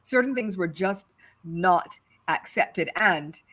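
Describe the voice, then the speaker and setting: A woman's voice: fairly low pitch, lively intonation, takes it slowly, fairly bright tone. A middle-aged woman from Barbados with a Barbadian accent, co-hosting a casual discussion and repeating her points for stress. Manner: emphatic, repeats phrases for stress. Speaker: podcast co-host.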